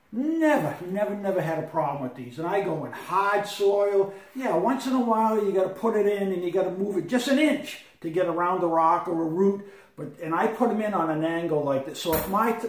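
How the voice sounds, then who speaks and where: A man in his fifties showing his hiking gear in a living room, rambling and halting with lots of fillers. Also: fairly high pitch. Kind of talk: casual gear walkthrough. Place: sunny living room with big windows. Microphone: camera across the room. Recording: roomy, from far off.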